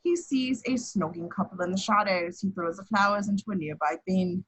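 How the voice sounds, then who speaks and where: A woman's voice: mid-range voice, a little fast, husky, rough recording. A woman reading out screenplay stage directions as scripted narration in a table read. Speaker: action description reader.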